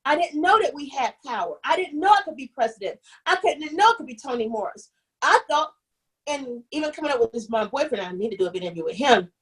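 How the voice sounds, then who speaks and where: Husky animated young woman storyteller. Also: sits high, fast, poor audio. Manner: animated, restarts often, acts out quoted voices. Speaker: guest. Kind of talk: candid conversational storytelling.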